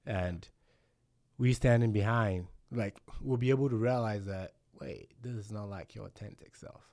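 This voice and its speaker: A man's voice: lowish voice, moderate pace, mellow, dry recording, studio quality. A man hosting a casual podcast conversation, reflective and thinking aloud with many fillers. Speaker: podcast host.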